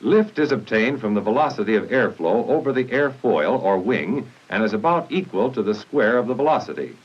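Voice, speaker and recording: An American man reading formal, instructional narration in a measured way for a 1950s military training film, on a muffled, noisy old soundtrack. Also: fairly low pitch, lively intonation, husky.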